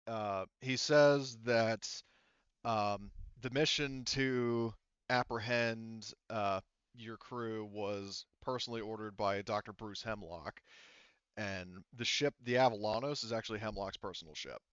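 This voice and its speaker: Low-voiced man running a tabletop game, dull audio. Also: fairly bright tone, smooth, no room sound. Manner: halting, rambling explanations, casual swearing. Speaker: game master. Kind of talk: casual tabletop game narration.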